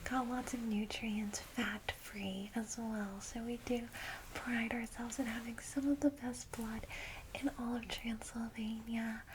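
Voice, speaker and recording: Gentle female vampire guide, acted by a woman: soft ASMR whisper, lightish pitch, soothing and unhurried, audible background noise. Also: fairly even intonation, lightly acted. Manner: reassuring, unhurried, hushed. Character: gentle, welcoming female vampire guiding a newcomer.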